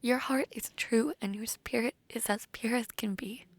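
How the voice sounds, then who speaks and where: A woman playing a tender, devoted princess bride in a romantic fantasy audio roleplay, speaking in a soft, breathy, heartfelt way. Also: a little high, normal speed.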